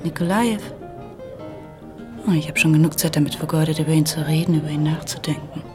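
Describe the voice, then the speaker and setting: Lady playing a soft purring flirty femme fatale in a radio noir, lowish voice, guitar music behind. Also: fairly even intonation, fast, lightly acted. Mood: weary annoyance. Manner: coy, seductive. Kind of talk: hardboiled radio drama.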